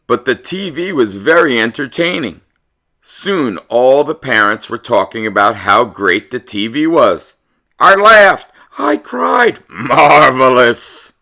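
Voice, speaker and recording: Male read-aloud host, unhurried and theatrical, with lively swings in pitch, for children's picture books. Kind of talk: expressive children's picture book narration.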